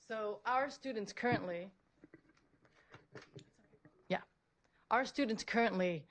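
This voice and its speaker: A woman giving a prepared public presentation as a school principal, earnest and repeating phrases for emphasis. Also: medium pitch. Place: public board meeting room, at a podium.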